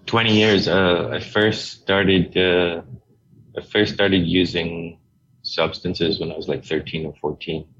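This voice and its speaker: A middle-aged man from Hong Kong, a guest telling his story in a relaxed, rambling way with many fillers. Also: sits low, takes it slowly, highs cut off, a little hiss or hum. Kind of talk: casual interview talk.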